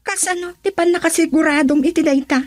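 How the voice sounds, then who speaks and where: An actress in an Ilocano radio drama playing a concerned, questioning mother and wife, with a Filipino accent and a husky voice. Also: very high voice, steady tone, brisk, no echo, studio quality. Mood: suspicious, probing.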